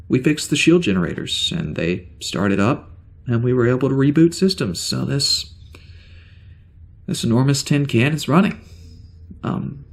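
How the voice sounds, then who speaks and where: An actor playing a bewildered, weary spaceship security officer with amnesia in an audio drama, speaking in a halting, stammering way. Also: male voice, mid-range voice, gravelly. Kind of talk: audio drama log monologue.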